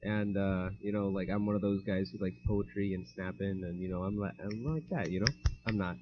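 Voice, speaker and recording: A man's voice: low-pitched, level tone, fairly quick. A teenage man co-hosting a casual podcast in a laid-back, easygoing way. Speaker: podcast co-host. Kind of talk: casual chat. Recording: dull lo-fi mic.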